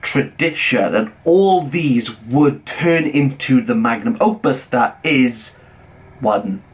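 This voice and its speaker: Earnest Welsh man reviewing books. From Wales. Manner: measured, earnest, drops low on titles. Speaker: book reviewer. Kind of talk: scripted book review.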